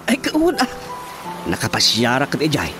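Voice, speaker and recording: A man's voice: medium pitch, wildly swooping pitch, moderate pace, over music. A man acting a chatty village councilman in a comic radio drama, with an Ilocano accent. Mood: chatty, genial. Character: chatty village councilman talking shop with watchman.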